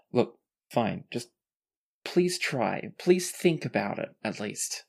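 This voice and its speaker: A man playing an earnest, weary man in a tabletop game, imploring and halting. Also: mid-range voice, very animated, vocal fry, exaggerated voice. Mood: pleading, weary.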